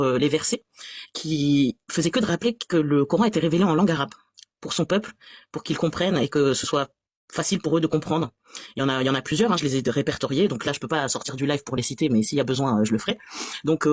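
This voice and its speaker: Quick, husky, sarcastic woman. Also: sits low, no room sound, clean studio sound. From France. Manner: animated, exasperated and sarcastic. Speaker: guest on a live stream. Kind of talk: personal testimony in a live call.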